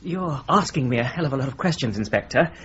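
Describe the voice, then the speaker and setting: An actor playing a defensive young solicitor, prickly and hesitant, in an old radio play. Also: male voice, mid-range voice, expressive, quick pace, a bit bright, natural voice. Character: defensive, proud young male solicitor in love. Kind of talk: 1950s-style radio drama acting. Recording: dull vintage radio recording, faint hiss.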